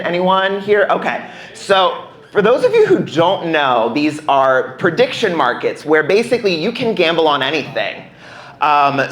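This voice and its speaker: A man's voice: on the high side, fairly bright tone. A young American man performing a playful, self-deprecating stand-up routine in a conversational way on a club stage. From United States. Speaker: stand-up comedian. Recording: roomy live club sound.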